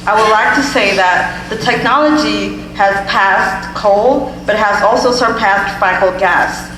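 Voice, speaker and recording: A woman's voice: medium pitch, measured pace, a bit bright. A nineteen-year-old woman from Ethiopia, a student giving an earnest prepared comment at a public hearing, stumbling now and then. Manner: earnest, reading a statement with some stumbles. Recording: echoey hall with background hum.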